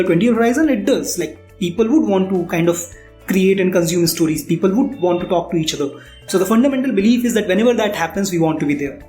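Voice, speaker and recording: A man's voice: high-pitched, darkish tone. Indian man explaining in a roomy space. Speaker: startup founder interviewed as guest. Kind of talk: conversational interview answers. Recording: roomy echo, faint background music.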